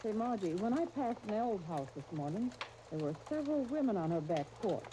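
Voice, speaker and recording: An actress playing a brisk, friendly, chatty woman in a 1930s radio serial. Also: medium pitch, very animated, close to an everyday voice. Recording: noisy 1939 radio broadcast disc.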